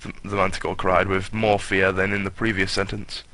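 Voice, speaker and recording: A man's voice: low voice, level tone, moderate pace, bright, forceful tone. A man narrating a comic story as a hammed-up dramatic reading, in a mock-grandiose manner with offhand asides.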